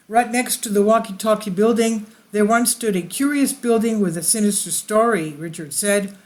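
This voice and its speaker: Host reading a news story aloud. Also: medium pitch. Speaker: video channel host.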